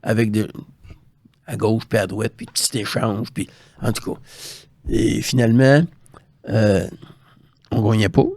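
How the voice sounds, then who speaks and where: A man's voice: lowish voice, fairly even intonation, measured pace, pauses often, a little mellow, no room sound, clean studio sound. An older man with a Quebec French accent telling career anecdotes casually as an interview guest, colloquially re-enacting conversations with quoted dialogue. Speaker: guest, former hockey scout.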